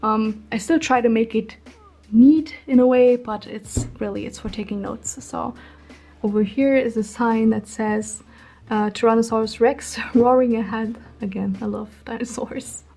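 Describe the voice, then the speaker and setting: A young woman in her twenties, chatting casually and rambling with many ums as she tours her home art workspace, her voice a bit hoarse from being ill. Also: fairly high pitch, steady tone, measured pace, warm tone. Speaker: artist vlogger. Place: small room at home.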